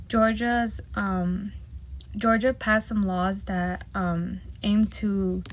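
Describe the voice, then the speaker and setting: A woman whose first language is Spanish gives a nervous, hesitant class presentation, with many pauses, ums and restarted words. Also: medium pitch, darkish tone, low-quality recording. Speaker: student presenting a project.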